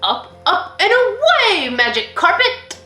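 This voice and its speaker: A woman voicing a boisterous, excitable little boy from a storybook, loud and full of exclamations. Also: extremely high pitch, slow pace, echoey room, faint music behind, pitched above the performer's own voice, full character voice. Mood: excited, booming.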